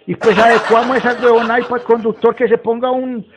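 A man's voice: very high voice, little pitch movement, fast. A man voicing a rustic, foul-mouthed, agitated 78-year-old from Antioquia, Colombia, in a folksy, rambling prank phone call. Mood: insistent. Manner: folksy, rambling, swearing, repetitive. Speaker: prank caller. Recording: muffled, very noisy phone recording with clicks.

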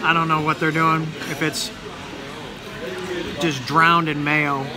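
A man's voice: on the high side, little pitch movement, slow, crowd noise. A man in his thirties vlogging casually about his meal in a busy sports bar, talking between bites into a phone held at arm's length. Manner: rambling, talks between bites with mouth full. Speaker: food vlogger. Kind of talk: casual vlog commentary while eating.

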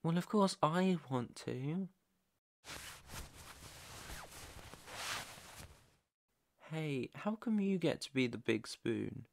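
Casting: male actor for shy, easily flustered British boy best friend, ASMR roleplay; unhurried, creaky, hesitant and tender delivery. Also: mid-range voice, darkish tone. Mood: bashful, playful. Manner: stammering, bashful, tender, hesitant. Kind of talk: ASMR roleplay acting, intimate one-sided dialogue.

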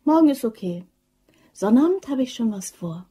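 A woman's voice: fairly high pitch, sing-song, normal speed. An actress playing a guarded, teasing young office woman in a German crime radio play. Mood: evasive, dry.

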